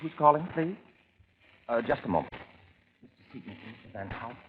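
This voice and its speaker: A man's voice: mid-range voice, lively intonation, fairly quick, phone-quality sound, noisy background, lightly acted. A man playing a shy, fussy young assistant with prim, over-precise speech in 1940s radio acting style, on an old recording. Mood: polite, prim. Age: young adult.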